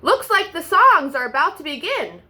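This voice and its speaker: A woman voicing a small female field mouse with a squeaky, chirpy voice in a storybook reading. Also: very animated, moderate pace, bright, forceful tone, exaggerated voice.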